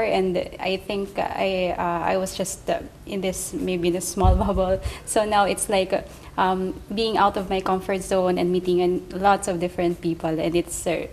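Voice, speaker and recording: A woman's voice: medium pitch, little pitch movement, normal speed, hardly any pauses, a little mellow, slight background noise, low-quality recording. A young woman from the Philippines, chatting cheerfully in a student testimonial with fillers. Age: young adult. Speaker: master's student. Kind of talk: testimonial interview.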